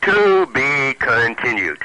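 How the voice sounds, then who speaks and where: A man's voice: medium pitch, very animated, takes it slowly, pushed and bright, low-quality recording. A man with a Brooklyn accent, a humorist and stand-up comic, reading his own comic writing aloud and chatting in a wry, self-mocking way that stammers when he is offhand. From Brooklyn, New York. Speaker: guest, humorist and stand-up comedian.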